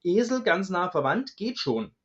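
A man with a fairly high voice explains as a science expert. He is measured and matter-of-fact, heard through slightly dull, lo-fi sound. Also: very animated, fairly bright tone. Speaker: dinosaur researcher, guest expert. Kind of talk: explanatory interview answers.

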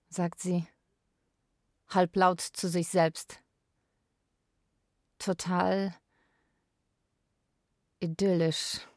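An actress playing a polite, persistent young Polish carer in a radio drama, with careful phrasing, both narrating and speaking. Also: female voice, lowish voice, a little mellow, no room sound, clean studio sound. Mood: earnest. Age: young adult. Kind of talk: radio drama, part narration in third person.

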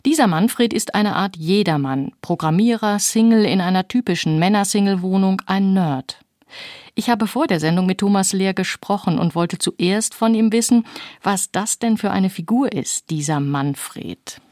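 A woman presenting a literary radio programme in an articulate, measured, scripted way, close to a studio microphone. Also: mid-range voice, a little mellow, dry recording. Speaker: radio host and interviewer.

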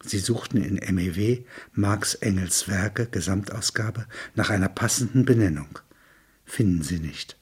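A man reading scripted literary prose in a measured, sober manner, with a husky, rough-edged voice. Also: fairly low pitch, a little mellow. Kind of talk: scripted narration of a literary audio piece.

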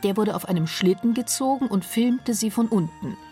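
A woman reading scripted narration for a radio documentary, measured and softly spoken, over a music bed. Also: mid-range voice, animated.

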